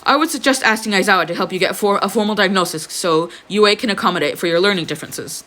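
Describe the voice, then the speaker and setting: A woman's voice: medium pitch, fast talker, bright, forceful tone, lightly acted. A woman voicing an earnest, helpful teenage boy who speaks formally, in a story reading. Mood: earnest advice.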